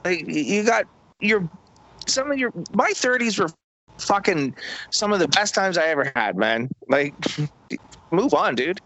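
A man's voice: high voice, animated, unhurried, pauses often, sharp tone, dry recording, low-quality recording. Laid-back middle-aged Canadian man. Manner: laid-back, profane, buddy-like. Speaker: podcast guest. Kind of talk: casual chat.

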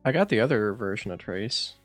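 A man's voice: fairly low pitch, lively intonation, a little fast, dry recording, clean studio sound, faint music behind. A man on a casual gaming podcast, blunt and wisecracking, swearing freely. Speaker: podcast co-host. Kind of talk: casual chat.

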